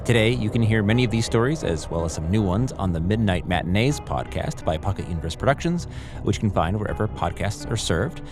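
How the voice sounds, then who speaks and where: A man's voice: on the low side, lively intonation, a little fast. A man hosting a podcast with a scripted, atmospheric introduction. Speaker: podcast host. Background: music.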